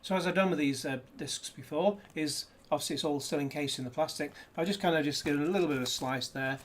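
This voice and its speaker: A man's voice: on the high side, fast talker. A man chatting casually through a disc unboxing, rambling with jokey asides, recorded indoors on a desk camera. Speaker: disc reviewer.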